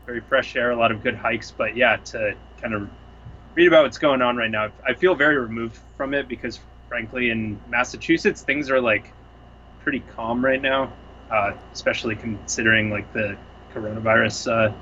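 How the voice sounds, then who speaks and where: A man's voice: mid-range voice, moderate pace, sharp tone, highs cut off, a little hiss or hum. A young man from the Seattle area, a music producer guest chatting casually on a couch at home, rambling thoughtfully with lots of fillers. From Seattle area, US Pacific Northwest. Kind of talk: casual chat.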